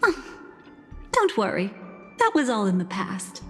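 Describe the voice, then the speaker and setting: Calm enigmatic storyteller in reverb. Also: female voice, medium pitch, a little slow. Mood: composed, knowing. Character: calm, enigmatic female storyteller revealing past schemes. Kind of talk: scripted video game story narration. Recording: reverberant, music underneath.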